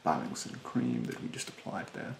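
A young man playing a rude, snobbish, fastidious facial therapist in a soft, half-whispered ASMR roleplay, haughtily polite and hesitant. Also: reverberant, a little hiss or hum, natural voice. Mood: fussy, instructive. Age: young adult. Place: bedroom, indoors. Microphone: close mic in front.

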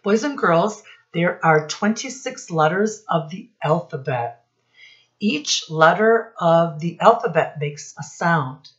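Slow, low-voiced woman teaching young children. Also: lively intonation, dull audio. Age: middle-aged. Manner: deliberate, instructive, enunciating for small children. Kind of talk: read-aloud lesson addressed to young children. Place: small indoor room with posters.

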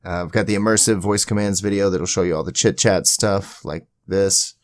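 A man hosting an informal screen-recording software tutorial, rambling a little as he thinks aloud. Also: low-pitched, steady tone, normal speed, no echo. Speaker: tutorial host.

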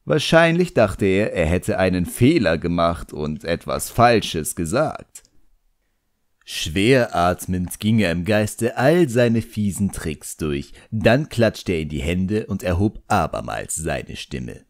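A man narrating an audiobook in the first person, expressive, wry and theatrical. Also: low-pitched, slow, no echo, studio quality.

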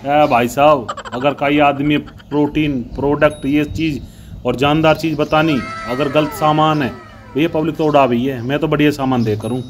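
A man playing a gym owner in a comic sketch, loud and scolding, with rough humour. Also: a little high, fairly even intonation, normal speed, a little hiss or hum. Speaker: gym owner selling injections. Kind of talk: comic sketch in village dialect. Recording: music bed under the voice.

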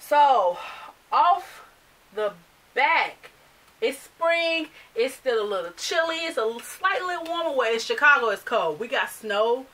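A woman's voice: high-pitched, a little slow, far from the mic. A woman in her thirties, a fashion vlogger, chatting animatedly to camera in a small bedroom, English not her first language. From United States. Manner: chatty, animated, gestures while talking. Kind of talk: casual chat to camera. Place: small bedroom filled with clothes and accessories.

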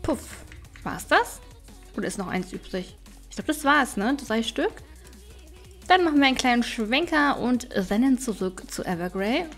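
A woman's voice: fairly high pitch, expressive, normal speed. A woman gaming YouTuber giving casual, chatty let's play commentary, thinking aloud as she plays. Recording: music behind the voice.

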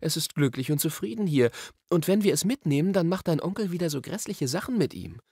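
A sensible, slightly worried young girl, as a male narrator puts her on, speaks earnestly and asks careful questions. The voice is raised well above his own, lively in tune and a little husky. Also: fairly high pitch, brisk, dry recording, studio quality, pitched above the performer's own voice.

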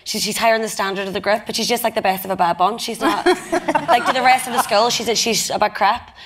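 A woman's voice: fairly high pitch, brisk, a bit bright. Blunt young woman. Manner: blunt, swearing, laughing often. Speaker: actress on a panel. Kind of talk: festival panel conversation. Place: stage in front of a live audience.

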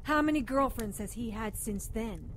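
Actress as high-voiced, defiant woman doctor. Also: animated, moderate pace, slight background noise, lightly acted. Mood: challenging. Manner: challenging, assertive. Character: defiant, sharp-tongued female doctor in love, narrating. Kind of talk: radio drama acting and narration.

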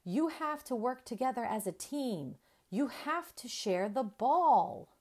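An encouraging lady coach from a children's animal storybook, played by a woman reader. She speaks emphatically, pitched up and full of lift, giving her team kindly direction. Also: expressive, takes it slowly, a little mellow.

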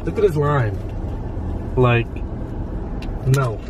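A man's voice: medium pitch, lively intonation, drawn-out words. A man in his twenties bickering casually in a teasing, defensive way, recorded inside a car. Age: 20s. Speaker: vlogger. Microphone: dashboard camera. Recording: distant mic, loud car noise.

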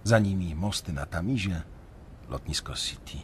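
A conscience-stricken young banker in a radio drama. He has a fairly low voice and tells his own story in a measured, brooding way. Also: expressive, a little hiss or hum. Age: young adult. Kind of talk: first-person radio drama narration.

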